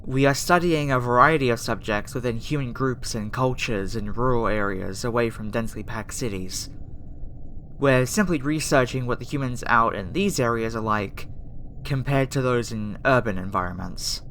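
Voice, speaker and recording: A woman's voice: extremely low pitch, moderate pace, a bit bright. An earnest alien girl researcher, acted by a woman for an ASMR roleplay. She is reassuring but hesitant and easily flustered, tripping over her words. A noticeable ambient noise sits behind the voice. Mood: calm, explaining.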